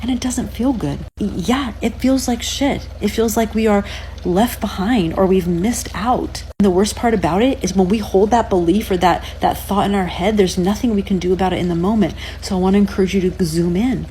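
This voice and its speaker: Woman, mellow tone, earnest coach asking rhetorical questions. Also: mid-range voice, moderate pace, slight background noise. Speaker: relationship advice creator. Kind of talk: casual advice talk.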